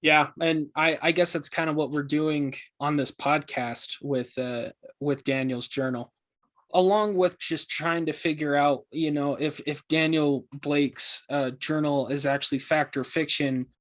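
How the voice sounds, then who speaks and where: A man plays an amateur-investigator host in a podcast drama. His voice is a little higher than average. He talks casually and earnestly, feeling his way hesitantly through each thought. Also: steady tone, a little slow, a bit bright. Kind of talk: casual scripted podcast interview.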